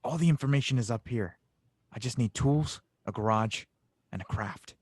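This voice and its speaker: A man's voice: mid-range voice, normal speed, darkish tone, no room sound, close to an everyday voice. A man playing a determined, earnest young engineer hero in an audio drama read.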